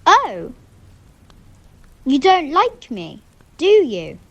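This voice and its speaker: Woman playing a slow, high-voiced, anxious young man. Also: wildly swooping pitch, a bit bright, dull audio, exaggerated voice. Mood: uneasy, hurt. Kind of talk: radio play acting.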